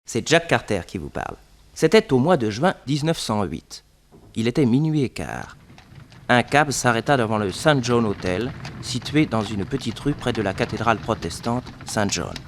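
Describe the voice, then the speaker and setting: Lively detective narrator. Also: male voice, medium pitch, normal speed. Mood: storytelling. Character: detective hero narrating his own past case. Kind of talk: dramatic scripted radio narration, 1960s. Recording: noisy old radio recording.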